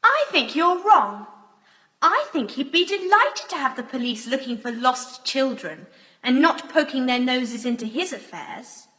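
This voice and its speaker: A sensible, wary child in an audio adventure. The voice is high and unhurried, with very animated intonation. Long reverb surrounds it. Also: female voice, heavily acted. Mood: sceptical. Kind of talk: children's audio play acting.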